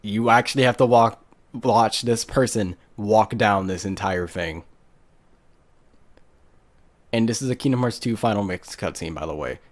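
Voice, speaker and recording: A man's voice: on the low side, moderate pace. A man giving casual, off-the-cuff commentary as the host of a gaming video. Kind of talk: casual let's play commentary.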